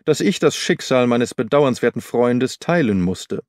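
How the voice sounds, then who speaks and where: A man voicing a weary, earnest and dignified gentleman in an audiobook, speaking in formal, measured phrases. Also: medium pitch, expressive, no room sound, clean studio sound, lightly acted. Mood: earnest, pained. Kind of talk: audiobook character dialogue.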